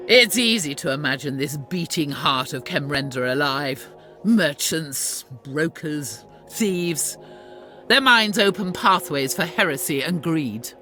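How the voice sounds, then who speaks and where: Stern, intense warrior sister. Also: female voice, sits low, animated, slow pace, sharp tone, faint music behind, heavily acted. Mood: grim. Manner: formal, solemn, grave. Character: stern devout female warrior sister, suspicious and zealous. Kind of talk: dramatic video game acting.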